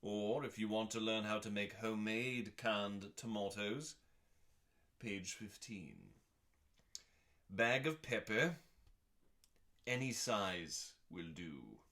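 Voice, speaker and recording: A man giving a dramatic, mock-serious scripted reading as a host, pompous, with long pauses and drawn-out emphasis on words. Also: lowish voice, sharp tone. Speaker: host reading aloud from a cookbook.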